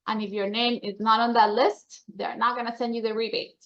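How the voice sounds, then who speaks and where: A woman's voice: a little high, level tone, a little fast, fairly bright tone. Colombian-accented woman, dull lo-fi sound. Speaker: membership association staffer presenting member announcements. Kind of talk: informal presentation to a meeting.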